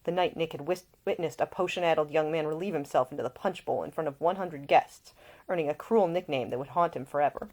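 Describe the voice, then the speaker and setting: Low-pitched woman reading to listeners. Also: fast talker, darkish tone. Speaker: author reading own book. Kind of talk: informal introduction, then expressive audiobook narration.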